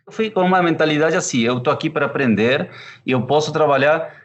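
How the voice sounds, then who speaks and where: A man in his thirties from Argentina, a chef, answering interview questions with an Argentine Spanish accent, unhurried and thoughtful with frequent fillers. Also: fairly high pitch, dull audio. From Córdoba, Argentina. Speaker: chef and pizzeria partner.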